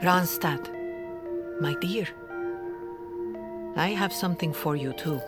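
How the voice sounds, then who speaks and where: A mysterious older matriarch in a supernatural audio drama. She is solemn and knowing, taking her words very slowly over a clear music bed. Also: lowish voice. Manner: measured, portentous. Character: mysterious older aunt, solemn mystic, affectionate and commanding.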